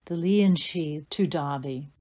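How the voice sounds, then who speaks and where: A woman playing the narrator of an audio play, calmly reading scripted stage directions. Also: sits low, slow pace. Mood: measured.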